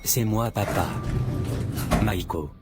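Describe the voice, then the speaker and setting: A man playing a devout, forgiving adult son in a cartoon, speaking earnestly and deliberately, a little preachy. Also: fairly low pitch. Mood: gentle, moved. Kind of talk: dubbed cartoon dialogue. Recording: music under the voice.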